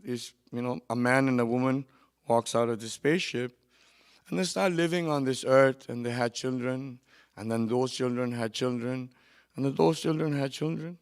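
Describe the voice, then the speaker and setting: A man giving a sermon at a memorial gathering, moving between quiet, conversational asides and loud, emphatic lines, with quick runs of recited Arabic verse. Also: medium pitch, clean tone. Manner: swings from soft asides to loud emphatic preaching. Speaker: religious speaker at a memorial gathering. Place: hall at a community centre.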